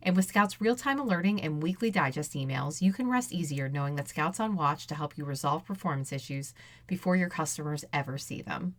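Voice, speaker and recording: Low-voiced woman hosting a podcast. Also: expressive, brisk, dry recording. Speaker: podcast host. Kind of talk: conversational interview with sponsor read.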